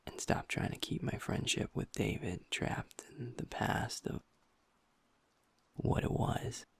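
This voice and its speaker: A man voicing a happy-go-lucky young male werewolf boyfriend in an intimate audio roleplay, talking softly and earnestly to his partner. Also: slow, dry recording, natural voice. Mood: tender, affectionate. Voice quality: soft, breathy, half-whispered. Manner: earnest, affectionate, talks to the listener as partner.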